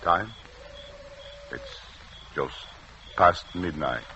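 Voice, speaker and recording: An actor playing a tense, secretive village doctor with a curt, evasive and menacing manner in vintage radio drama. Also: male voice, deep voice, animated, very slow, full character voice. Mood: guarded. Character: tense, secretive village doctor husband hiding murderous intent. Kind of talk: 1940s-50s radio drama acting. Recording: dull, noisy vintage radio recording.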